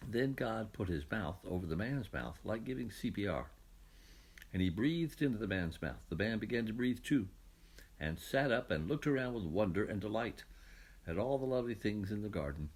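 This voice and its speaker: A man narrating a scripted children's story as an audiobook reader, in an expressive storytelling manner. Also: on the low side, moderate pace, husky.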